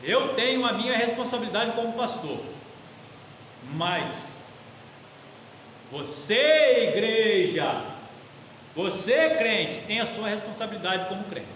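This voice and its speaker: A man's voice: sits high, fairly bright tone. A Brazilian man preaching conversationally as a pastor leading a Bible study, emphatic, repeating phrases and asking rhetorical questions. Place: reverberant church meeting room. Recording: very echoey hall, faint noise.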